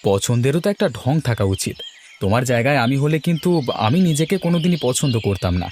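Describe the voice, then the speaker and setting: Plaintive, quick-talking poor cartoon husband, a man acting. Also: medium pitch, animated, darkish tone, slight background noise, close to an everyday voice. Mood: self-pitying. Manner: plaintive, self-deprecating. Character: self-pitying, devoted poor husband. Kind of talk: Bengali cartoon dialogue.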